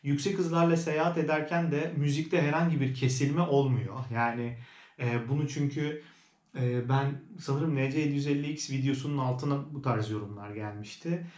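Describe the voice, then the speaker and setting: A man's voice: mid-range voice, fairly even intonation, normal speed, a little mellow. A man giving a casual, conversational product review as a vlogger, explaining things as he goes. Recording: roomy indoor echo.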